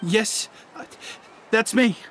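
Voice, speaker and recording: A man's voice: high-pitched, slow, fairly bright tone, slight background noise. A man playing a nervous, cowed criminal henchman in a video game, stammering and submissive. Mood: anxious, guilty.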